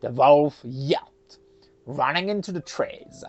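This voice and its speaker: A woman's voice: low-pitched, expressive, takes it slowly, a little mellow, lo-fi sound. A woman storyteller narrating a children's folktale expressively.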